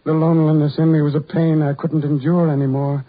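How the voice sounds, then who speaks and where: An actor playing a lonely, self-loathing ugly farm labourer in a 1940s radio horror drama, with halting, repetitive, brooding delivery. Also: male voice, fairly high pitch, level tone, breathy edge, no room sound. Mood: obsessive, despairing. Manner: halting, repetitive, brooding, building to anguished outbursts. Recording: muffled, tinny 1940s radio recording.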